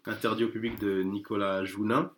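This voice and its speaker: A man's voice: on the low side, flat delivery, a little fast, a bit bright. A man chatting casually as an interview guest, hesitant, with many fillers and restarts. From France.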